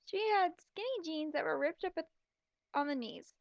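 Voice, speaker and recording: A woman's voice: high voice, animated, moderate pace, vocal fry, dry recording, highs cut off. A woman voicing a shallow, gossipy teenage girl with gushing, whiny delivery, as in a story read aloud. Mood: self-absorbed.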